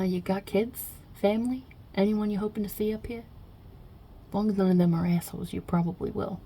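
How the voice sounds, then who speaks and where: A woman's voice: lowish voice, creaky, warm tone, slight background noise. A woman voicing God as a brash, short-tempered, non-binary Italian mob boss in an ASMR roleplay, with a put-on Italian-New York accent and a blunt, chummy, rambling delivery. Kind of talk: ASMR roleplay interview, improvised.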